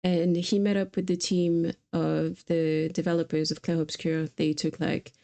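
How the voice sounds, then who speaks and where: A woman's voice: fairly low pitch, little pitch movement, unhurried, gravelly, dark tone. A woman streamer chatting casually about video games in a conversational, easygoing way. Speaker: streamer host.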